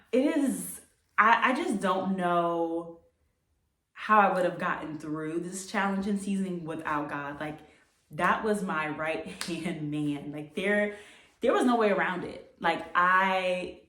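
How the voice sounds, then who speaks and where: A young American woman vlogger speaks in a fairly low voice, sharing her story in a reflective, conversational way. She repeats phrases for emphasis. The sound is quite echoey, picked up some distance from the microphone. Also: slow pace, bright, forceful tone. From United States. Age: late 20s. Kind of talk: casual personal storytelling to camera.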